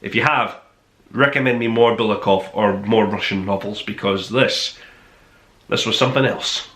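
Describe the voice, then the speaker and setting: A man's voice: lowish voice, moderate pace, a bit bright. A man hosting a book review, talking casually to camera in an emphatic, rambling way. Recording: roomy, echoey sound.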